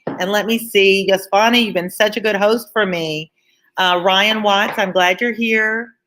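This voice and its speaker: A woman's voice: mid-range voice, fairly even intonation, a little slow, fairly bright tone, slight background noise. A woman tutoring on a live read-along of a classic novel, reading aloud and adding informal explanatory asides for listeners.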